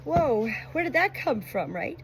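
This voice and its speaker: A woman reading a book and reflecting on it in an expressive, earnest, emotional way. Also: high voice, a little slow. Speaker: audiobook reader. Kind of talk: expressive book narration with personal commentary. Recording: clear background noise, rooster crowing.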